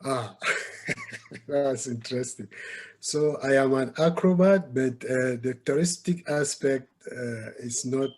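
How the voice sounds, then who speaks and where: A man from Cameroon answers interview questions in a mid-pitched voice. He is polite and halting, filling pauses with frequent hesitations. He speaks as a guest over a video call. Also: a little mellow, slightly rough. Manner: polite, halting, with many uh fillers.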